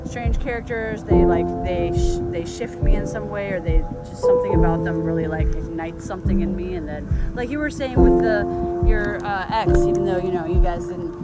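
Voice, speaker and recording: Halting woman chatting over loud music. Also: on the low side. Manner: halting, searching for words, meandering. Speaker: host. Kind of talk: casual chat.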